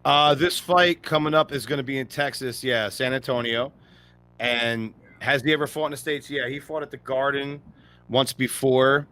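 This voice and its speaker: A man co-hosting a casual sports podcast, talking in an animated way and laughing often. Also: medium pitch. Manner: animated, laughs often, breaks into quick impressions. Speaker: podcast co-host.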